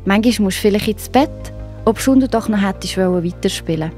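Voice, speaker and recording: A woman with a Swiss German accent narrates a Bible story for children. Her mid-pitched voice is expressive and speaks straight to young listeners. Faint music plays behind her. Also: brisk.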